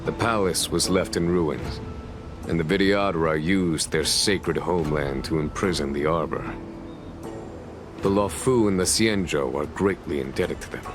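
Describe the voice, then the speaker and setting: A man playing a composed veteran general in a game cutscene, speaking in a measured, formal and authoritative way. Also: rumbling low, music in the background. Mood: solemn, composed. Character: composed veteran male general commanding knights. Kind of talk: video game cutscene acting.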